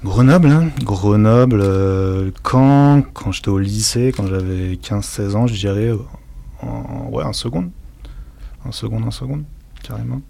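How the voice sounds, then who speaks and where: A man's voice: on the low side, a little slow, vocal fry, dark tone. A young man answering as a guest rapper in casual, laid-back, slangy interview chat.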